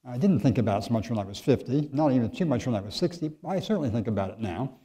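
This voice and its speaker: A man in his seventies lectures with a soft, very dark and mellow voice. He talks in a relaxed, conversational way. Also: mid-range voice, quick pace. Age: 70s. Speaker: guest lecturer, physician.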